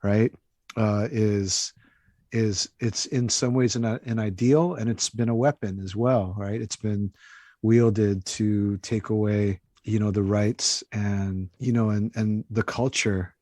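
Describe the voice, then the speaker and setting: A man's voice: on the low side, steady tone, takes it slowly, mellow, no room sound. An American man speaking casually and reflectively as an interview guest, often dropping in "you know" and "right" as he thinks. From Hawaii, United States. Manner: conversational, thoughtful, many hedging asides like "you know" and "right". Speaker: interview guest, author and activist.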